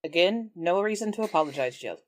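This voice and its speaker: A woman's voice: fairly low pitch, animated, fairly quick, close to an everyday voice. A woman playing a firm, caring, plain-spoken adventurer in a tabletop game.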